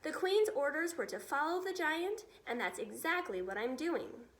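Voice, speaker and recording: A woman's voice: high voice, big pitch swings, normal speed, a little hiss or hum, pitched above the performer's own voice. A woman voicing a cheerful, fearless young male pilot in a storybook, breezy and untroubled. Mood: matter-of-fact.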